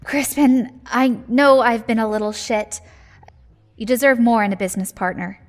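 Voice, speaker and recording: A woman's voice: a little high, moderate pace, close to an everyday voice. A woman acting a sly, flippant shop owner and secret agent in a naturalistic audio drama. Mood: breezy, apologetic. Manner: flippant, sardonic, breezy.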